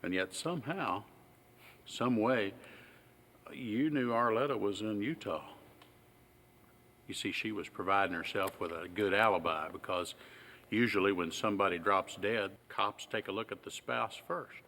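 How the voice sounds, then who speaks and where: An actor playing a low-key local sheriff who talks in a folksy, unhurried, needling way in a mystery film. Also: male voice, pushed and bright. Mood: probing, wry. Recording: clear background noise on location.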